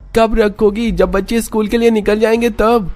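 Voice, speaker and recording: A man's voice: high voice, flat delivery, normal speed, a little mellow, faint music behind, natural voice. A man voicing an irritable young husband in a cartoon story, scolding and impatient. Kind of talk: Hindi cartoon story dialogue.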